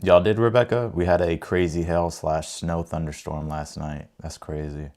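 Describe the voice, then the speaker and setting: Very deep-voiced casual American man. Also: steady tone, moderate pace, a little mellow. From United States, grew up in Ohio and Texas. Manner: informal, rambling, repeats phrases. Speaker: tarot reader hosting a livestream. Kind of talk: casual livestream chat and card reading.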